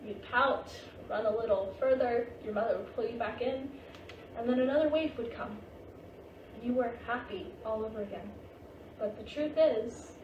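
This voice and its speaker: A woman playing the gentle, wise ghost of a Japanese grandmother in a staged play reading, patient and reassuring. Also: fairly high pitch, natural voice. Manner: patient, reassuring, unhurried guiding. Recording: distant, noisy, echoey staged reading.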